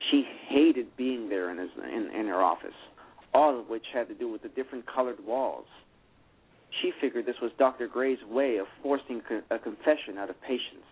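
A man narrating a radio play, reading haltingly with frequent restarts. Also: medium pitch, breathy edge. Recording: tinny phone-line radio broadcast.

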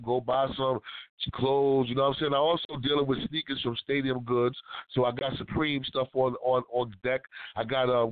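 A man's voice: mid-range voice, no echo, narrow, tinny audio. A man hosting his own radio show from his studio, talking in a loose, slangy patter with a stuffy nose from a cold. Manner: laid-back slangy patter. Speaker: radio DJ host. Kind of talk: casual radio show talk.

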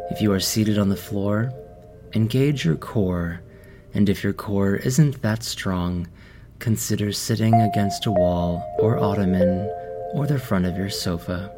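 A man hosting a guided meditation, speaking in calm, measured short phrases with pauses between instructions. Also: on the low side. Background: music playing behind the voice.

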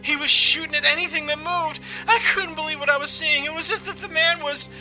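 A man's voice: very high-pitched, fairly even intonation, brisk, over music, voice pushed up, poor audio. A man doing a sobbing, distraught woman witness. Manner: breathless, wavering, hushed. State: crying.

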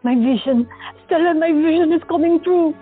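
An earnest female patient who has visions pleads about a fate she is sure of, as a woman plays her. Her voice is high. The sound is thin and tinny, with soft music behind it. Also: normal speed. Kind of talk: audio drama acting.

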